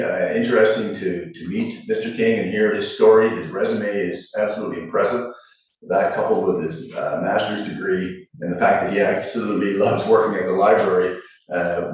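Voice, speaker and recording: A man with a loud, very low voice speaks formally, pausing often on "uh". He sounds distant from the mic, and the room echoes heavily around him. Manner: steady, formal, with many hesitation fillers. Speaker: city councillor. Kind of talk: giving a council report.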